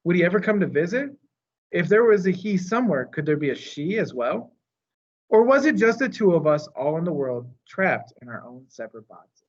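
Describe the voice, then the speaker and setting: A man reading a children's novel aloud in a measured, expressive storytelling manner. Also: a little high, lo-fi sound. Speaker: storytime reader.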